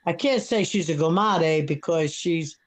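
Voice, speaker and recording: A woman's voice: low-pitched, measured pace. Seventy-something woman with a thick Brooklyn accent; outspoken, heated, repetitive guest. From Brooklyn, New York. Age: 70s. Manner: blunt, heated, repeats herself. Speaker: live stream guest. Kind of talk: casual chat.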